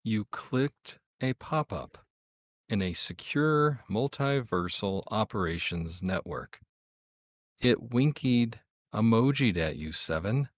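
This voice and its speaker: A man's voice: on the low side, expressive, takes it slowly, no echo. A man playing an exasperated, scolding, foul-mouthed agent in a script read-through. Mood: seething, deadpan.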